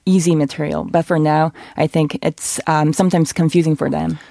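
A woman's voice: deep voice, steady tone, brisk, gravelly, mellow. A woman from Korea, a comedian guest, explaining her views thoughtfully with frequent ums. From South Korea. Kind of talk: interview answers.

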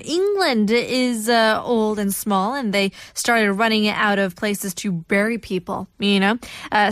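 A woman's voice: on the high side, no room sound, clean studio sound. A woman co-hosting a show on English expressions, explaining and chatting in a casual, informal way. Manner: informal, interjecting.

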